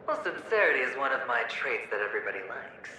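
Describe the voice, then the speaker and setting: A man's voice: mid-range voice, sing-song, fast talker, natural voice. A man voicing a sly, taunting young man. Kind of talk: video game cutscene voice acting. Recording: very echoey game audio with ambient noise.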